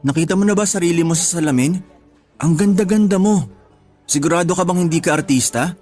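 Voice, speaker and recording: A man's voice: high-pitched, fairly quick, darkish tone, faint music behind, natural voice. A Filipino man playing a shy, smitten young man on a first date in a radio drama, teasing and casual. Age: young adult.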